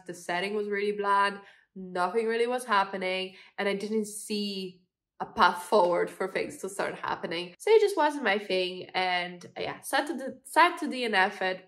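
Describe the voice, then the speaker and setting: A woman's voice: medium pitch, fairly bright tone. Congested young woman chatting about books. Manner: chatty, frequent fillers, laughs while talking. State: congested from a cold. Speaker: booktube host. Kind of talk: casual book review chat.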